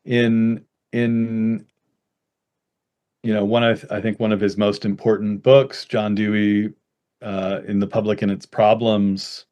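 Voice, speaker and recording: Slow, low-voiced man answering academically. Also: level tone, dark tone, clear, resonant tone. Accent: American. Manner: thoughtful, many fillers and tag "right?". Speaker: invited speaker answering audience questions. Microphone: webinar video call.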